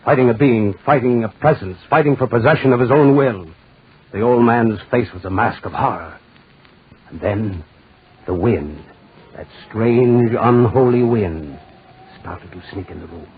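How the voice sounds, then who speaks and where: A man's voice: medium pitch, measured pace. A man acting as an ominous, brooding narrator of a 1940s radio horror tale, building suspense through repetition. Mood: ominous, suspenseful. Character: ominous male storyteller of eerie horror tales. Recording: muffled, rough 1940s radio recording.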